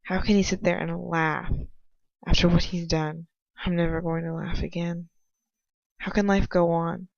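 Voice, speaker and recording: A woman reads fan fiction aloud in the first person as an amateur narrator, halting now and then to reread a phrase. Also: on the low side, level tone, gravelly, no echo. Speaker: amateur audiobook reader. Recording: dull lo-fi home recording.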